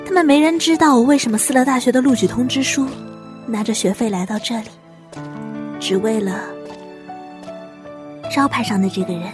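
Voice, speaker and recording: Actress as young female apprentice cook, high voice, earnest, wistful, audio drama, music behind. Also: normal speed, pauses often, close to an everyday voice. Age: young adult. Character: young woman apprentice chef without smell, earnest, wistful narrator. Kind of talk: Chinese audio drama acting with narration.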